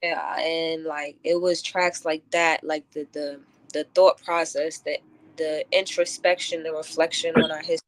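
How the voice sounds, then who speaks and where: Low-voiced woman, thoughtful and halting. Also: flat delivery, no room sound, rough recording. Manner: thoughtful, halting, many filler likes. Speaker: guest poet and lyricist. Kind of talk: reflective radio interview answers. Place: close-miked radio show.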